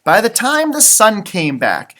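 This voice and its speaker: A man reading a children's picture book aloud in an expressive, teacherly way, with casual chatty asides. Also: high-pitched, a little slow. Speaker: storybook reader, elementary school teacher.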